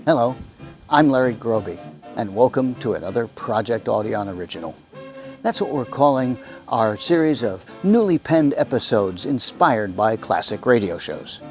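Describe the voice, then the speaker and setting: A man hosts with a scripted introduction in a medium-pitched voice. His delivery is very animated, over faint music. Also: moderate pace. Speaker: host.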